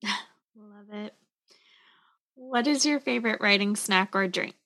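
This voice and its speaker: A woman's voice: mid-range voice, slow pace, creaky, sharp tone. A woman interviewer putting short, prepared questions to a guest.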